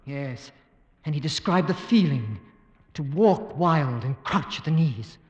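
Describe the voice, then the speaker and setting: An actor playing a bold, decisive hunter in a 1940s radio drama, with a clipped, commanding manner. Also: male voice, a little high, expressive, unhurried, a little mellow. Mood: grim. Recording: reverberant old radio studio, dull sound.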